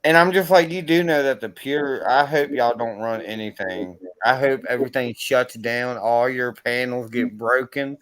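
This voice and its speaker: A man's voice: mid-range voice, normal speed, few pauses, crowd noise. A man chatting casually and bluntly as the host of a group stream, swearing freely. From Virginia, USA.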